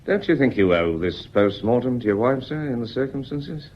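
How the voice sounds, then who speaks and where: A male actor playing a polite, stolid, quietly shrewd police inspector in a radio drama, with an English accent and a measured, deferential manner. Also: mid-range voice, lively intonation, dull audio, close to an everyday voice. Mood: politely firm. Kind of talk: British radio drama acting.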